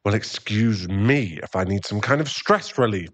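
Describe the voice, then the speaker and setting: A man's voice: lowish voice, animated, normal speed. A man playing an irritable, defensive, hard-drinking werewolf boyfriend and expectant father in an ASMR roleplay audio drama, given to sighs and snappish remarks. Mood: irritated, snapping.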